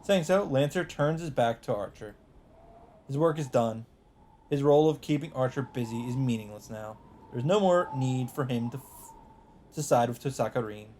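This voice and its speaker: A man narrating a visual novel as a let's play host, reading the text aloud in a deliberate, emphatic, dramatic style. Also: medium pitch, darkish tone, slight background noise.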